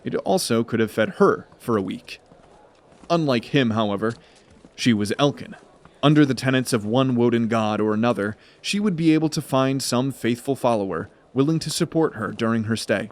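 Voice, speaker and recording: A man narrating a scripted fantasy story in a measured, dramatic storytelling manner. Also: medium pitch, expressive.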